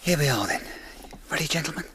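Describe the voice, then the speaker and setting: An actor in a radio drama playing an earnest, anxious young English clerk, polite and plain-spoken. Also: male voice, fairly high pitch, expressive, fast talker, natural voice. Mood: eager. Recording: radio drama with clear background noise.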